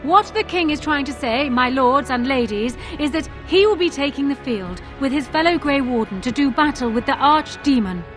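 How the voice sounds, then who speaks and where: A self-assured fantasy-game queen with a high-pitched voice. She speaks in formal, assertive, regal phrases, proud and shrewd, with faint music behind her. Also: expressive, normal speed, hardly any pauses, a bit bright. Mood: regal, declaring. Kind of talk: video game voice acting.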